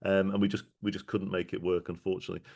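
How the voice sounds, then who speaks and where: Deep-voiced male podcast host. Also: flat delivery, rapid-fire, gravelly, darkish tone. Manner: friendly, rambling questions with fillers. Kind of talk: conversational podcast interview.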